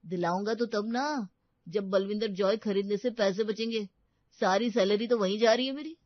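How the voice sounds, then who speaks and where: A woman's voice: mid-range voice, moderate pace, dry recording, dull audio, lightly acted. A woman voicing a reluctant, griping young man in a family story. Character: grudging, grumbling young man, an uncle.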